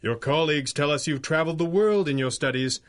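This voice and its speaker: A man's voice: on the high side, fairly quick, fairly bright tone, lightly acted. A man playing a composed, politely probing masked detective hero in a 1930s-style radio drama. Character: suave, composed male masked superhero detective.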